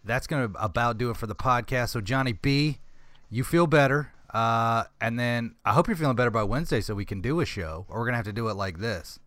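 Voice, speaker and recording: A man's voice: medium pitch, fairly quick, a bit bright, no room sound. A man hosting a casual podcast in a loose, rambling way, laughing easily with his co-host.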